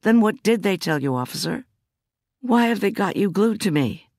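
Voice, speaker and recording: A woman's voice: mid-range voice, expressive, normal speed, a little mellow, dry recording, studio quality, voice pushed up. A woman voicing a shaken, stubborn female prosecutor in an audiobook, insistent and clipped. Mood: indignant, insistent. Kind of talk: audiobook character dialogue.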